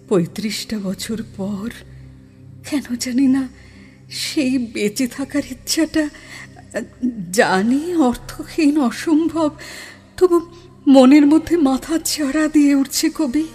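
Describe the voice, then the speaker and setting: Woman acting a slow, high-voiced melancholy ghost woman. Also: breathy edge, full character voice. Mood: wistful, sorrowful. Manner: theatrical, lingering, slipping into hushed whispers. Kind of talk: Bengali audio horror drama, dramatic storytelling. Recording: music under the voice. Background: dramatic background music.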